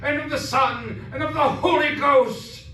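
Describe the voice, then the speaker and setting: An actor playing a fearful but devout servant of God, solemn and incantatory in an old-time radio drama style. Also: male voice, very high voice, steady tone, a little slow. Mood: fervent, praying. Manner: solemn, incantatory, archaic phrasing. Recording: echoey distant room, faint noise.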